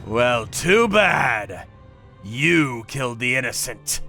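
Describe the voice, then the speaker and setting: A man voicing a grim, vengeful torturer who narrates his work in a manga dub with deliberate menace. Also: medium pitch, big pitch swings, vocal fry, sharp tone, soft background music, exaggerated voice. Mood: cold menace. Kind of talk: dramatic first-person manga dub narration.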